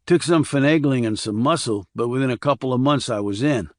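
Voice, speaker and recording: A man's voice: mid-range voice, brisk, a little mellow, no echo, studio quality, natural voice. Man voicing a weary, blunt small-town guy. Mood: reflective. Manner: clipped, colloquial, blunt. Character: weary, rough-edged small-town man with a drinking past.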